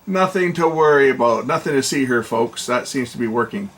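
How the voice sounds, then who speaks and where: A man's voice: mid-range voice, expressive, a little fast, fairly bright tone. A man giving casual, hands-on commentary as a repair technician, informally muttering to himself as he works. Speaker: repair technician presenting his own video. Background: music playback, guitar.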